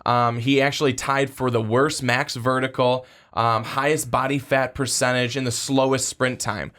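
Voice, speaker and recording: A man talks through sports picks on a podcast in a steady, measured way. His voice is mid-pitched and plain. He pauses often on small fillers. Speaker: podcast co-host.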